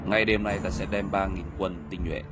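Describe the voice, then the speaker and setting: A man voicing a stern medieval army general in an animated historical film, firm and authoritative. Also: sits low, normal speed, over music, natural voice. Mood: urgent, commanding.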